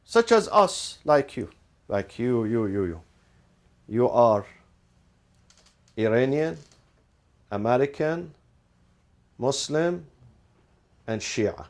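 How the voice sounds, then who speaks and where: A man's voice: medium pitch, big pitch swings, slow pace, long pauses. A man teaching a class, posing rhetorical questions, answering them himself and repeating key phrases, with Arabic legal terms mixed in. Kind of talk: classroom lecture.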